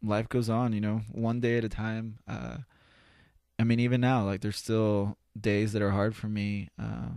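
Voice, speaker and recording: Male podcast guest, low creaky voice, earnest and halting, casual and unpolished delivery. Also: flat delivery, darkish tone, dry recording, clean studio sound. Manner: earnest, reflective, halting with repeated "you know". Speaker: interview guest, spoken-word poet. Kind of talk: casual, candid podcast conversation.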